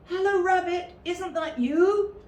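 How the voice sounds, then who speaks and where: Woman voicing a high-pitched, earnest little storybook bear. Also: takes it slowly, darkish tone. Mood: puzzled, earnest. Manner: sing-song, puzzled and earnest. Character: friendly, naive, food-loving toy bear who hums. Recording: roomy, distant mic with faint noise.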